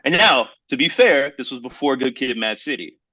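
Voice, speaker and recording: Male podcast co-host, thirties. Sharp, forceful tone, slangy emphatic storyteller, close dry recording. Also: mid-range voice, animated, moderate pace, no room sound, low-quality recording. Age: 30s. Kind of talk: casual podcast chat about hip hop.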